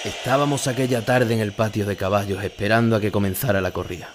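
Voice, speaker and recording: A male actor with a Spanish accent playing an ageing, reflective, melancholy bullfighter, narrating memories in a measured, intimate way. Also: on the low side, fairly even intonation, very noisy, lightly acted. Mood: grave. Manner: measured, solemn, intimate storytelling. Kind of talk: first-person literary narration in an audio drama.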